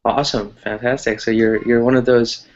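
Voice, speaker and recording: A man's voice: mid-range voice, little pitch movement, moderate pace. A man with an American accent teaches English over an online call. He explains in an encouraging, conversational way and often checks that listeners follow. The sound is a little dull and muffled. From United States. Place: online video class.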